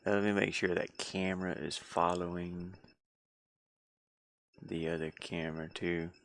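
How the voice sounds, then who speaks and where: A man chatting casually on a live stream as he works on a game, halting and muttering his thoughts aloud. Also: deep voice, fairly even intonation, creaky, fairly bright tone, slight background noise. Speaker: hobby game developer streaming his work. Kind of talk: casual live-stream chat while working.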